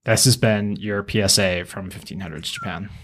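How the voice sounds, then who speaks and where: A man co-hosting a podcast, talking casually in a measured, explanatory way. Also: fairly low pitch, fairly even intonation, fairly bright tone, a little hiss or hum. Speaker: podcast co-host.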